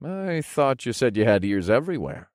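A man as a cheeky, animated young-spirited fantasy hero. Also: medium pitch, normal speed, no echo, studio quality, pitched above the performer's own voice, lightly acted. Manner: casual, modern, cheeky phrasing. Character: brash, casual summoned male hero of fantasy tale.

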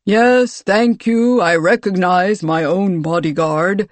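Narrator putting on a booming, imperious military ruler. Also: dry recording, lightly acted. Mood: genial, commanding. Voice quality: booming, loud. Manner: formal, imperious, measured. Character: towering ageless military ruler, booming baritone, commanding, teasing. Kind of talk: audiobook character dialogue.

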